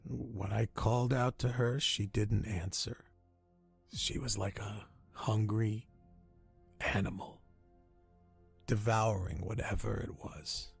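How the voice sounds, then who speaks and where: A dying old castaway in a radio play. His voice is low and heavily gravelly, and he recounts horrors in weary, haunted, very slow and halting phrases, over music. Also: steady tone, heavily acted. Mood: haunted, horrified. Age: older. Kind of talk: radio drama storytelling.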